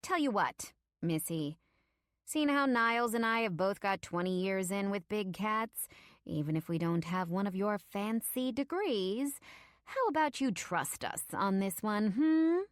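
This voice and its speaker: A snooty middle-aged boss lady as a woman narrator plays her in an audiobook. She talks down to her listener with mock-sweet, patronising airs, pitched up, in a dry recording. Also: big pitch swings, a little slow, smooth, no room sound. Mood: condescending. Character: haughty, condescending middle-aged woman boss of big-cat keepers. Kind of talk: audiobook character acting.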